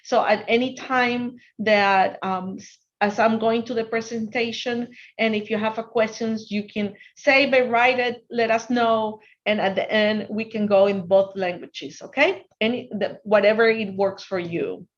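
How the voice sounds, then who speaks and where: An earnest woman with a Spanish accent leads a business workshop over a video call, her voice sitting a bit high. She often restarts her sentences as she explains. The sound is dull and lo-fi. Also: steady tone, a little slow. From Ecuador. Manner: earnest, restarts sentences, asks if the audience can hear. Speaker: guest speaker on finance. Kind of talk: online workshop presentation.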